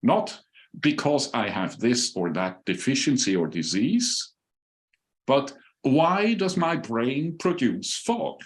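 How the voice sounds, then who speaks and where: An older man with a Swiss accent speaks slowly and deliberately over a video call. His pitch rises and falls a great deal as he presents, and fillers and pauses come between his phrases. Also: big pitch swings. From Switzerland. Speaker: webinar speaker.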